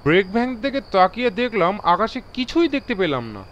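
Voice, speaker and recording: An authoritative railway guard played by a male narrator in a horror audio drama, with a high voice that swings widely. He is emphatic and alarmed, dropping English phrases into his speech. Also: very animated, fairly quick, fairly bright tone, highs cut off, a little hiss or hum. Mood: uneasy, amazed.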